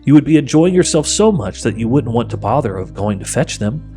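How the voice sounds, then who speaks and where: A man's voice: mid-range voice, sing-song, brisk, mellow, faint music behind, lightly acted. A man voicing a sly, coaxing witch queen for a storybook, speaking in a grand, persuasive, commanding way. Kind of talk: audiobook character voice.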